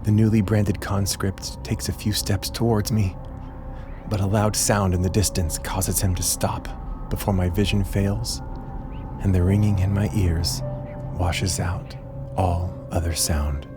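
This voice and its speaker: A man's voice: low voice, little pitch movement, a little slow. An actor playing a frightened, dying prisoner who recounts his last moments in a strained, dramatic first-person narration over music. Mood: fading.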